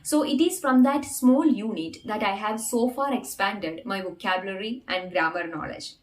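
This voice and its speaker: A woman's voice: mid-range voice, normal speed. A young adult woman whose first language is not English, earnestly teaching English learners and repeating phrases to stress her points. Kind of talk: talking-head English learning lesson.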